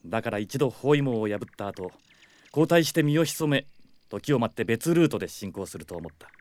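A man's voice: medium pitch, expressive, brisk, a little mellow, close to an everyday voice. An actor playing a proud, stubborn young male soldier in a Japanese radio drama, speaking firmly and formally. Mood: composed, analytical. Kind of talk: Japanese anime-style radio drama acting.

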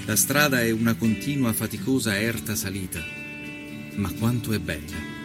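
A man's voice: fairly low pitch, steady tone, moderate pace, close to an everyday voice. An actor playing an observant nineteenth-century journalist, reading his travel diary as scripted narration in speech. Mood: admiring, descriptive. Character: male journalist of the 1890s, observant, admiring traveller. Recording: music behind the voice. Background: music.

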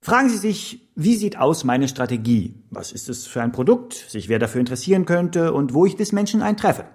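Lively, patronizing business coach over music. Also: male voice, fairly high pitch, a little fast, slightly rough. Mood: instructive. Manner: didactic, rhetorical, peppered with business English. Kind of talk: instructional lecture in radio drama.

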